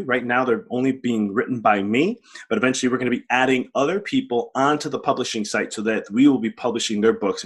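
A man's voice: mid-range voice, a bit bright. A man hosting a podcast, earnest and upbeat, with occasional fillers as he introduces and asks questions. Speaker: podcast host.

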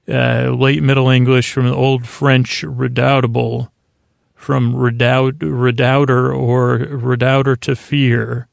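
A man hosting a bedtime podcast, talking in a meandering, halting monologue that circles back on itself, with a creaky voice. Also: mid-range voice, dry recording. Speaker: podcast host. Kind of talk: rambling bedtime-story podcast intro monologue.

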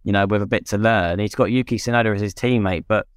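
A young man talks casually as a podcast co-host, drifting through his points with plenty of "you know"s. His voice sits a touch low and comes across smooth and clear. The recording is dry, with no room sound behind him. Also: fairly even intonation, quick pace, no room sound. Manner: conversational, many "you know" fillers. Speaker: podcast co-host, former racing driver. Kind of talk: casual chat.

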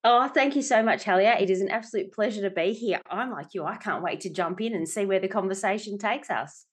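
A woman's voice: mid-range voice, fairly quick, husky. Chatty Australian woman, middle-aged guest. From Melbourne, Australia. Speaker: guest, company director. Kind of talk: conversational interview.

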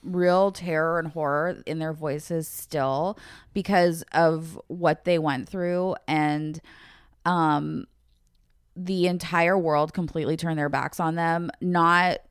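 A woman's voice: low-pitched, fairly even intonation, slow, clean tone, dry recording, studio quality. A woman with an American accent chatting informally as a podcast co-host, with a loose, colloquial way of talking. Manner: informal, peppers speech with "like". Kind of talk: casual chat.

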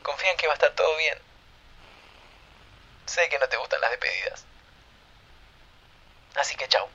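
A man's voice: a little high, animated, moderate pace, creaky, bright, forceful tone, exaggerated voice. A man voicing a tender, reassuring farewell as a played-back recorded message. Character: man's farewell video message to an old friend. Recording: dull lo-fi playback.

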